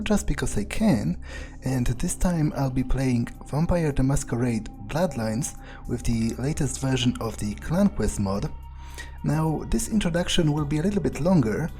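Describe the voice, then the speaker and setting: A man with a mellow, relaxed voice hosts a gameplay video in a casual, explanatory way. His pitch is average, and he often hesitates and repeats words as he goes. Faint music plays behind him. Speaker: let's play host.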